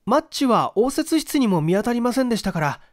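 Man acting a high-voiced, apologetic gentleman. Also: big pitch swings, fairly quick, no echo, clean studio sound. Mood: apologetic, breathless. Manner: polite, apologetic. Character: polite, breathless gentleman in a detective story.

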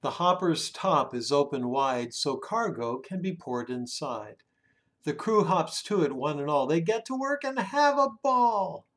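Slow, expressive older man reading aloud. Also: fairly high pitch. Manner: dramatic, with drawn-out words and hushed phrase endings. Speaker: children's story reader. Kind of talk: expressive picture-book read-aloud. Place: small room indoors at home.

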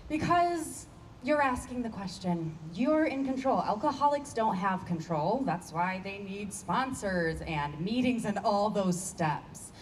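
A woman's voice: mid-range voice, lively intonation, measured pace, close to an everyday voice. A woman in her thirties in a staged play reading, playing a blunt, dismissive, seductive lover with a casual assurance. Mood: dismissive, assured. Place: stage before a painted block wall. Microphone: handheld microphone. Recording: distant stage pickup with ambient noise.

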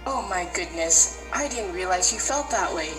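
Woman playing a lively, emphatic cookie principal. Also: lowish voice, moderate pace, music in the background, lightly acted, rough recording. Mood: conciliatory. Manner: expressive, emphatic. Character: authoritative female school principal cookie, concerned and fair. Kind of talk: children's radio play acting.

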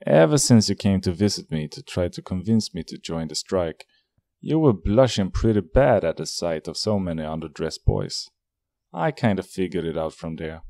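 A cocky, wisecracking street newsboy, played by a man, slangy and loyal. His voice sits low and swings widely, recorded dry and close. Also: lively intonation, normal speed, vocal fry, warm tone, dry recording. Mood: reassuring. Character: cocky young male newsboy leader, loyal. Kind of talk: fan audio drama.

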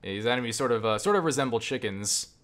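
A man giving casual, profane gameplay commentary, enthusiastic but halting, often restarting his phrases. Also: mid-range voice, animated, a bit bright. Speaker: gamer and game reviewer. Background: game music and sound.

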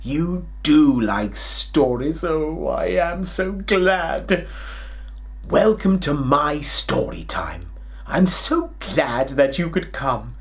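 A middle-aged man playing a kindly, jolly, forgetful old grandad king, telling a children's story in an expressive, theatrical way. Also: a little high, extremely slow, creaky. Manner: theatrical, expressive, with hammed-up hesitations and chuckles.

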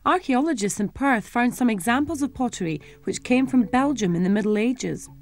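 Young woman, schools history presenter, clear, simple, high-ish pitch. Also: lively intonation, normal speed, darkish tone, no echo. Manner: clear, simple explanations for children.

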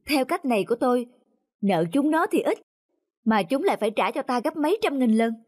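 A woman's voice: sits high, animated, brisk, a little mellow. A woman playing a rich landlord's nagging, calculating wife in a cartoon folk tale. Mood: scheming.

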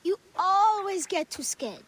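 A woman playing a bold, excitable child who teases a friend in a video game. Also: very high voice, unhurried, full character voice. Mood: taunting. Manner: teasing, excitable. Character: bold, teasing young child, boy's taunting friend. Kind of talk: game cutscene acting.

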